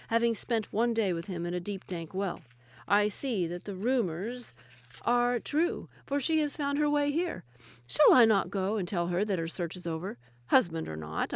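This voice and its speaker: A woman's voice: medium pitch, no echo, natural voice. A woman voicing a sly young male woodcutter who poses as a physician.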